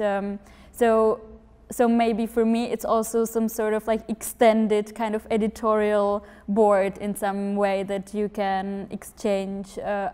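A woman's voice: medium pitch, darkish tone, clean tone, big-room sound. A young woman, a magazine editor on a panel, talking eagerly with hesitant starts. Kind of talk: panel discussion. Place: room with curtains, seated on sofa.

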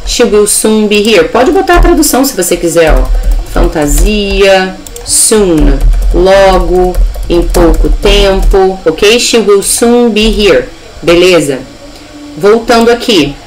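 A woman's voice: medium pitch, animated, takes it slowly, faint music behind, rough recording. Young Brazilian woman teaching English. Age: young adult. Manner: instructive, enunciates English examples. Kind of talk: informal video lesson.

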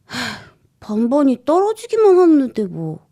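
A woman voicing an aggrieved young schoolboy who plaintively narrates his own troubles in expressive storybook style. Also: sits high, a little slow, voice pushed up, full character voice. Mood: protesting.

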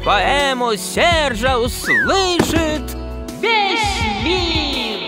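A pompous cartoon mountain who fancies himself a poet. He declaims slowly with big swoops of pitch, dramatic and doting, over loud music. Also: very animated, exaggerated voice. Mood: grandiose. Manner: theatrical, declamatory. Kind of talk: children's cartoon voice acting. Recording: music behind the voice.

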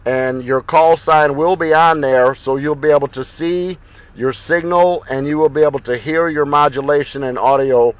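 A man from Ohio talks into a handheld mic from inside his car, running a radio contact in crisp procedure. The sound is dry and close, slightly dull in its top end. His voice sits a touch high for a man. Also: steady tone, moderate pace, dry recording. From Ohio, USA. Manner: crisp radio procedure, spelled call signs. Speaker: CB radio operator.

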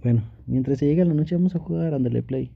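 A man's voice: mid-range voice, fast talker, creaky, phone-quality sound. A young man playing an affectionate, teasing, pouty boyfriend in an intimate ASMR roleplay, talking playfully and pleadingly with sweet pet names. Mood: tender, adoring. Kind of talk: Spanish ASMR boyfriend roleplay, intimate one-sided dialogue.

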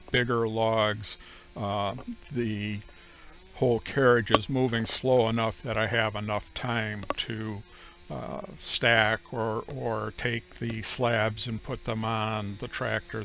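A middle-aged man narrating how he runs his sawmill, speaking slowly and plainly with frequent pauses. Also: on the low side, creaky, a bit bright. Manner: slow, measured, plain-spoken with frequent "uh" pauses. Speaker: homestead sawmill operator. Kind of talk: voice-over explaining sawmill operation.

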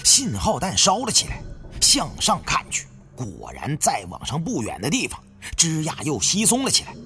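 A man's voice: on the high side, huge pitch swings, normal speed, pushed and bright. A man narrating a first-person adventure audiobook in a dramatic, suspenseful, theatrical manner. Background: faint background music.

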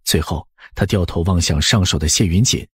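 Male audiobook narrator, husky lowish voice, dramatic storytelling, really dry studio-like sound. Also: moderate pace, fairly bright tone, no echo.

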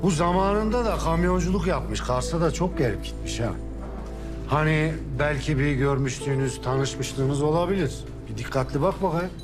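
An actor playing a quick-tempered, domineering middle-aged man who scolds and shouts in coarse slang. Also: a little high, lightly acted. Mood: probing. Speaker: Affan, a local strongman. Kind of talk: TV drama acting. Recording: TV drama with music score.

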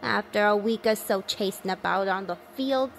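A woman's voice: mid-range voice, moderate pace, gravelly, faint music behind, close to an everyday voice, poor audio. A woman playing a cynical, defiant survivor of an alien invasion in a radio play, with blunt, folksy, clipped phrasing. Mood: defiant, scheming. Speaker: actor in an amateur radio drama.

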